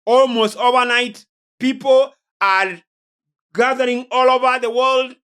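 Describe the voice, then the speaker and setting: A man from Kenya with a Kenyan accent, preaching in a solemn, deliberate lecture style with emphatic stresses. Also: very high voice, steady tone, bright, forceful tone, clean tone. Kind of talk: sermon-like religious lecture.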